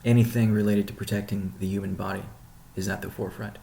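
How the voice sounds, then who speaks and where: A man leading an informal business update call as a chief executive, explaining things in a measured way with pauses mid-sentence. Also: fairly low pitch, little pitch movement, vocal fry, mellow, slight background noise. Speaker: company CEO hosting a weekly update call. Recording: roomy, echoing sound.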